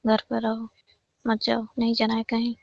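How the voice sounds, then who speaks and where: A woman's voice: monotone, moderate pace, creaky, a little mellow, no echo. A young woman, chatting casually and privately over the phone. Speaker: caller. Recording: muffled low-fidelity phone call recording.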